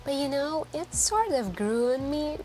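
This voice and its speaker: A woman acting as a wistful, lonely woman speaks softly and slowly in an intimate ASMR roleplay. She is hesitant and confiding, drifting between thoughts with frequent pauses, over quiet background music. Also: sits high, animated. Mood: shy, warm. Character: wistful, lonely woman chatting shyly with a stranger.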